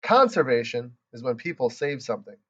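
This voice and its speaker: A man voicing a patient, tired woman kindergarten teacher who speaks calmly and corrects gently. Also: medium pitch, brisk, muffled recording. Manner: calm, correcting.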